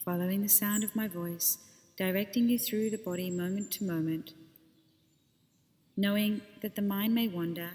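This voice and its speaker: A woman leading a guided body scan meditation as a teacher, speaking gently and unhurriedly with pauses between phrases. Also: medium pitch, big-room sound.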